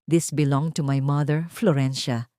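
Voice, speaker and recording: A woman voicing a gentle, devoted gentleman in a romance audio drama, speaking softly and with emotion. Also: deep voice, steady tone, a little slow, no room sound, studio quality, pitched below the performer's own voice. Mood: tender, reassuring. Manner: soft, tender, emotional.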